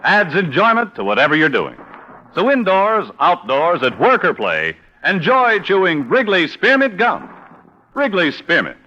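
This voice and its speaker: A man's voice: high voice, huge pitch swings, a little slow, bright, forceful tone, slight background noise. A man announcing a show and reading sponsor copy in mid-century radio advertising style. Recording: dull old radio recording, music behind.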